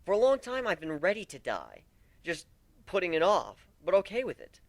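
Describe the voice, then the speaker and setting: A man's voice: high voice, wildly swooping pitch, normal speed, pitched above the performer's own voice, exaggerated voice. A man voicing a wounded, vengeful but fragile male griffin warrior in a theatrical dramatic story reading. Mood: scared, confessing. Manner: intense, theatrical, swinging into brooding.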